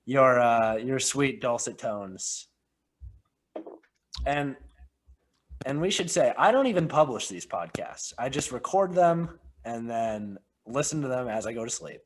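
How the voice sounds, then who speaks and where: A man's voice: mid-range voice, animated, a little slow, a little hiss or hum. Joking man hosting a film podcast. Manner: joking, rambling banter. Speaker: podcast host. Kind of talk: casual chat.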